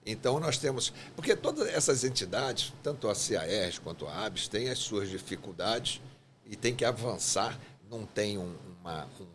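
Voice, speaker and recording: A man's voice: lowish voice, animated, normal speed, fairly bright tone, slight background noise. A man hosting a podcast interview, introducing his guest in long, rambling sentences with hesitations. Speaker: podcast host, association president.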